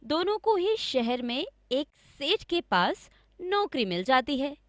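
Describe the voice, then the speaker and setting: Woman reading a kids story, high lively voice, slow, really dry sound. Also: no room sound. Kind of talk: scripted children's story narration.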